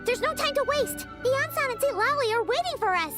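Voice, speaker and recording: Tiny, extremely squeaky fairy sidekick. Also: female voice, fairly quick. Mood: exasperated. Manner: perky, opinionated, expressive. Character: tiny, squeaky, chatty childlike fairy companion. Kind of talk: anime-style video game voice acting. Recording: game music clearly behind.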